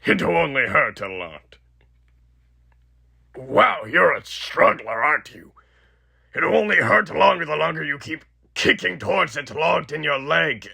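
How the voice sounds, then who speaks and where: A man voicing a sadistic, elderly undead killer animatronic in a horror roleplay, raspy and theatrical, with mocking menace and muttered asides. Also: fairly high pitch, animated, measured pace, bright, forceful tone, full character voice. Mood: gleefully sadistic. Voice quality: raspy, gravelly.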